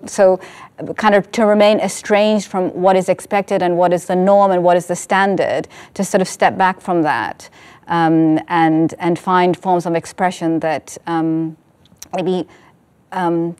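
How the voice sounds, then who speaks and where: A woman speaking as a writer and filmmaker in a reflective artist talk, searching thoughtfully and haltingly for her words. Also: lowish voice, darkish tone. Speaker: writer and essay filmmaker on a panel.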